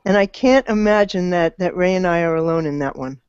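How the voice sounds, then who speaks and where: A woman's voice: on the low side, fairly quick, darkish tone, smooth, no echo. An older woman giving blunt, opinionated views in a casual panel discussion on a video call. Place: indoor room, video call. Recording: dull lo-fi video call audio.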